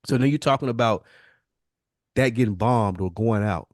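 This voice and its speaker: A man's voice: on the low side, normal speed, dry recording, studio quality. An American man in casual podcast chat, talking bluntly and emphatically with plenty of slang and swearing. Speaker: podcast co-host.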